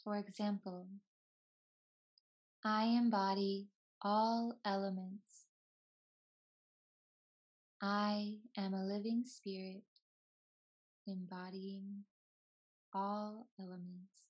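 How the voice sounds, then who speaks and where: A woman leads a guided relaxation as a yoga teacher. Her delivery is soothing and very slow, in short phrases separated by long pauses. The pitch stays quite even, and the recording sounds slightly dull. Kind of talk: slow guided meditation narration.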